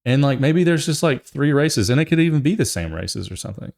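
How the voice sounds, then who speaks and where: A man's voice: mid-range voice, lively intonation, a little fast, creaky, darkish tone. A man hosting a gaming show, giving casual, opinionated commentary in a rambling, emphatic way and often repeating his phrases.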